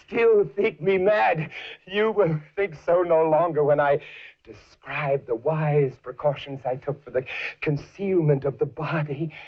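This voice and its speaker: An actor playing a nervous, mad murderer confessing with cunning glee in a theatrical horror monologue, halting and intense, with stammered repetitions. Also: male voice, fairly high pitch, lo-fi sound.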